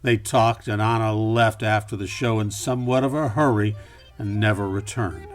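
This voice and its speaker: A middle-aged actor playing a hard-boiled, world-weary private detective, narrating in a deadpan, measured radio play style. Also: male voice, fairly low pitch, lightly acted. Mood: brooding narration. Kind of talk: campy film noir radio play narration. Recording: music behind the voice.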